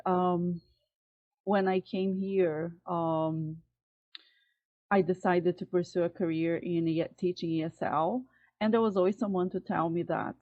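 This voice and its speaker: A woman speaks hesitantly, full of fillers and false starts. Her voice sits fairly low. The audio is thin and phone-like, dry as if close to the mic. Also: no room sound. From Brazil. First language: Portuguese. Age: 40s. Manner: hesitant, many fillers and restarts. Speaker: workshop participant. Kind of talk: personal sharing in group call. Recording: tinny phone-like call audio.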